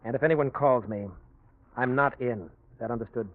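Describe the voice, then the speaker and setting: A guarded, jumpy American man played by a male actor in a forties radio noir drama. He speaks in short, demanding bursts at a middling pitch. The sound is thin and narrow, like an old broadcast. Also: normal speed, close to an everyday voice. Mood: curt, guarded. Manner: terse, evasive, demanding. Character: curt, guarded, nervous American man on the run. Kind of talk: 1940s radio noir acting. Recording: tinny vintage radio recording.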